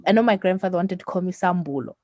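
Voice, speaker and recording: A woman's voice: on the low side, quick pace, a little mellow, dry recording, studio quality. A woman from Zimbabwe co-hosting a podcast, chatting casually and animatedly, often laughing and ending her points with "right?". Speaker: podcast co-host. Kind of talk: casual chat.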